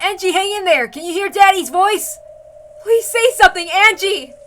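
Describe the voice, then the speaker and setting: Woman playing a desperate, crying-out parent, loud and high. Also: expressive, moderate pace, a little hiss or hum. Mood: desperate, pleading. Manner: loud, pleading cries. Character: desperate, tearful parent calling to their child.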